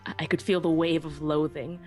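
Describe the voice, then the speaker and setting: A woman playing a small, anxious young female pony who narrates her own story in an expressive, earnest way. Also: sits low, a little fast, soft background music, close to an everyday voice. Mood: tense, dread. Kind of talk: dramatic first-person story narration.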